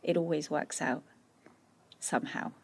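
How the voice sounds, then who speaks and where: Gravelly middle-aged woman, encouraging. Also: sits low, a little slow. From Austria. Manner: earnest, encouraging, full of restarts. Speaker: guest. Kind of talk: casual podcast interview.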